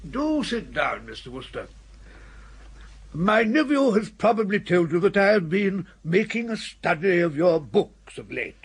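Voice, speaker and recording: A portly, older upper-class English gentleman for a radio comedy. His voice is raised in pitch and somewhat raspy. He speaks with courtly, measured pomposity and earnest effusion. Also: very animated, heavily acted. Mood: effusive, reverent. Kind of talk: radio comedy drama acting.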